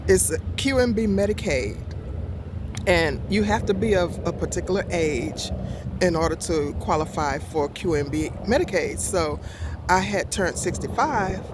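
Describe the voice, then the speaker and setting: Older lady in her sixties rambling slowly thru her story, lots of uhs, outside with wind noise. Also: fairly low pitch, lively intonation. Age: 60s. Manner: halting and rambling, many fillers, corrects herself. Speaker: vlogger. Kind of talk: casual personal storytelling. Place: outdoors in a park.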